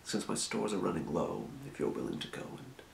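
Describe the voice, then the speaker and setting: A man acts as an old-world fantasy potion shopkeeper in an ASMR role play. He murmurs in a breathy, extremely deep whisper full of crackling fry, quietly businesslike and unhurried. The roomy recording has audible noise. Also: sing-song, exaggerated voice. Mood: calm explaining. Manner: murmuring, unhurried, muttering to himself. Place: small room, indoors at a desk.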